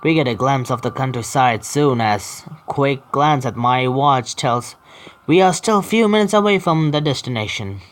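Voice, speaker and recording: A man hosting a gaming video, reading story text aloud haltingly with stumbles and adding casual asides. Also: mid-range voice, slight background noise. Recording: faint game music behind. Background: faint video game music.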